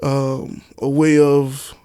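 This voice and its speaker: A man's voice: mid-range voice, little pitch movement, extremely slow, mellow, smooth, no echo. A man from Miami talking as a guest in a casual podcast chat, candid and slangy. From Miami, United States. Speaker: interview guest, author and stage play director.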